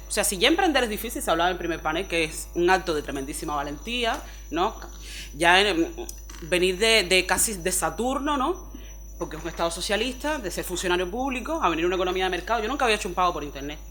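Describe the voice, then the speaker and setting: A woman from Cuba with a Cuban Spanish accent, speaking on a forum panel in an expressive, chatty way, often ending phrases with quick tag questions. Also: fairly low pitch. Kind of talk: panel discussion talk.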